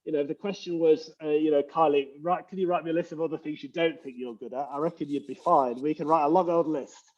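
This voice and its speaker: A man's voice: on the high side, fairly even intonation, quick pace, few pauses, a little mellow, highs cut off. A man with an English accent, a leadership coach explaining earnestly in a conversational interview over a video call. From England. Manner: earnest, explanatory, frequent uh fillers.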